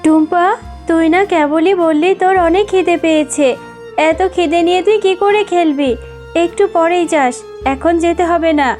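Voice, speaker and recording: A gentle, poor mother fox from a cartoon, acted by a woman. Her voice is very high, with an earnest, motherly manner. Music plays underneath. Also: moderate pace. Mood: tender. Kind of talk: cartoon voice acting.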